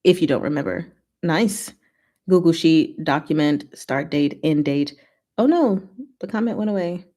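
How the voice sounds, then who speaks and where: A woman's voice: low-pitched, expressive, measured pace, warm tone. A woman hosting a livestream, chatting informally and giggly as she reads and answers viewer comments. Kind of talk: casual livestream chat, reading comments aloud.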